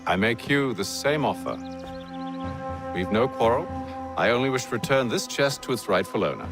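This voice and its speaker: An actor playing a cold, commanding gentleman leader in a video game, speaking in a measured, formal, curt manner. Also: mid-range voice, expressive, music in the background, natural voice. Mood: stern, threatening. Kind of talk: video game cutscene acting.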